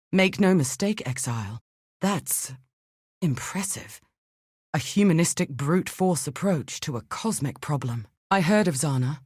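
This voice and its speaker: Casting: a bookish, earnest scientist-adventurer for a video game; low female register, measured, articulate delivery, dry studio sound. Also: darkish tone, no room sound. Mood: reflective, admiring, then grave, thoughtful. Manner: precise, formal, articulate, thoughtful. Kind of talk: scripted video game dialogue.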